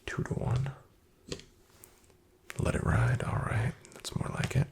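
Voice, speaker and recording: A man playing a shady, wryly menacing back-alley casino dealer in a soft-spoken, breathy ASMR roleplay, with an unhurried drawl. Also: mid-range voice, flat delivery. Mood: calm dealing. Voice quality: whispery, breathy, gravelly. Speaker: card game dealer in a roleplay.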